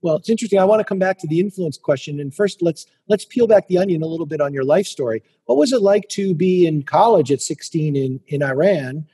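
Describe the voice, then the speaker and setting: A man hosting an interview in a conversational style, with courteous, measured phrasing. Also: on the high side, warm tone, husky. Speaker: interview host.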